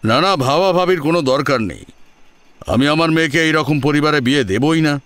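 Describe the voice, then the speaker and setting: Man acting a proud father with animated delivery. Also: fairly high pitch, moderate pace, voice pushed up. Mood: haughty, refusing. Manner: firm, haughty. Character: proud, unbending middle-aged father seeking a groom. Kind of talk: audio drama acting.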